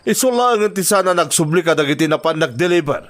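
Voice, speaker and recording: A man's voice: a little high, expressive, fast, a bit bright, faint music behind. A man playing a commanding, volatile drug-gang boss in an Ilocano audio drama. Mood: alarmed, commanding. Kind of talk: Ilocano radio-style crime drama acting.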